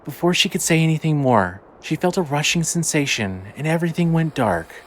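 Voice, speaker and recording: A man's voice: on the high side, expressive, fairly quick, a bit bright, a little hiss or hum. A man reading a scripted audio drama as its narrator. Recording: music under the voice. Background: clearly audible music.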